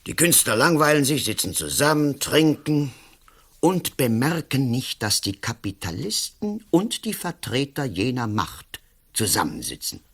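An actor playing a domineering, smug and sly politician in a radio play, with a condescending, theatrical, emphatic delivery. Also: male voice, mid-range voice, very animated, unhurried, a bit bright, husky, heavily acted. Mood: sly, cynical. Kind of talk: German-language radio drama acting.

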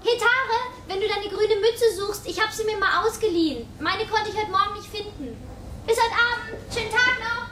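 An actress playing the narrator's breezy young sister in a radio drama, chatty and casual. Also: female voice, extremely high pitch, normal speed, pauses often.

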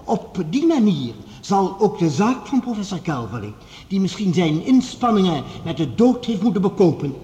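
An eminent radio-play scientist with a high-set, widely swinging voice. He speaks in measured, formal oratory, composed and statesmanlike, in a big reverberant hall. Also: very animated, warm tone, muffled recording. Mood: solemn, persuasive. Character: eminent male scientist, formal, composed, conceding rival professor. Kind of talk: radio play acting, formal speech to an assembly. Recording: echoey large hall with long reverb.

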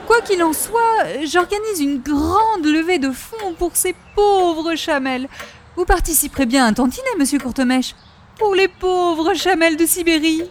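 An extremely high-pitched, romantic middle-aged busybody, effusive and theatrical. She gushes in prim, flowery phrases in the manner of old radio comedy. There is faint noise behind the voice. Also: normal speed. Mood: earnest, lamenting. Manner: gushing, dramatic, prim, flowery.

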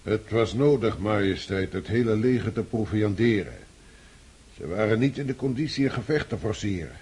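A man's voice: on the low side, expressive, moderate pace, a little mellow, slightly rough. An actor playing an old field marshal who answers his ruler in a subdued, deferential way in a radio play. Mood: defensive.

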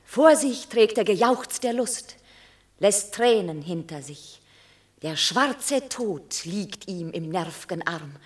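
An actress playing a proud, stern Roman mother of a war hero, speaking verse slowly and solemnly in a radio play. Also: mid-range voice, expressive. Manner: measured, solemn verse delivery. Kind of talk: classic radio play acting.

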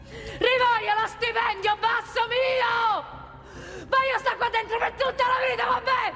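A woman's voice: very high-pitched, steady tone, a little slow, pushed and bright, long reverb tail, faint music behind, full character voice. An actress playing a plain-spoken, desperate working-class woman with a Roman accent in a theatrical monologue. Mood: furious, pleading. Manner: plain-spoken, ungrammatical, earthy. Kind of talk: theatrical monologue in colloquial dialect.

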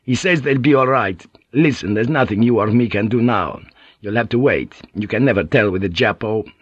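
A man voicing a boisterous, swaggering sea pilot who talks in a loud, jovial, profane way, on a dull cassette audiobook tape. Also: lowish voice, moderate pace, breathy edge, dry recording.

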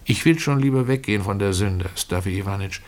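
A man voicing a timid, weepy drunkard who pleads haltingly and apologetically in a radio play. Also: on the low side, lightly acted. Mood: tearful, hurt. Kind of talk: German radio play reading.

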